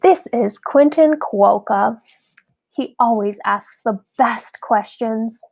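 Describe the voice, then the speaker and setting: Storybook animal schoolmistress, read by a woman: kindly but brisk, raised pitch, instructing and encouraging. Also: vocal fry, a little mellow, full character voice, rough recording. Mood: proud. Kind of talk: children's storybook read-aloud.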